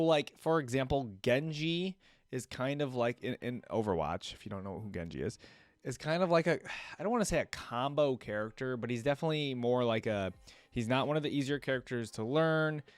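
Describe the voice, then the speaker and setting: A man giving casual gaming reaction commentary as a host, rambling and thinking out loud as he goes. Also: mid-range voice, no echo.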